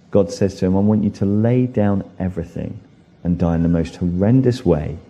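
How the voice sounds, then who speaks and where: A man's voice: lowish voice, warm tone, breathy edge. A man preaching a sermon in an earnest way, lifting his voice for emphasis and acting out imagined inner voices. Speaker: pastor.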